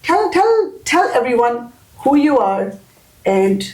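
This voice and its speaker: A woman's voice: sits high, very animated, slow pace, darkish tone. A woman hosting a podcast, welcoming her guest and interviewing him with enthusiasm. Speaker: podcast host. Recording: echoey room.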